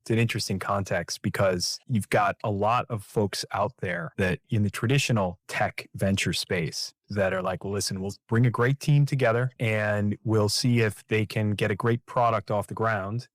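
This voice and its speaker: Man hosting, lowish voice. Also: steady tone, normal speed, few pauses, dry recording, studio quality. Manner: affirming, builds long framing questions. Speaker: podcast host. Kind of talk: fireside chat interview.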